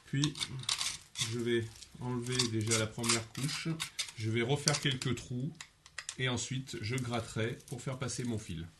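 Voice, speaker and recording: A man's voice: mid-range voice, fairly even intonation, unhurried, heavy background noise. Methodical man explaining DIY steps. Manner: methodical, explains each step in first person. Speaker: DIY instructor. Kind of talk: step-by-step how-to narration.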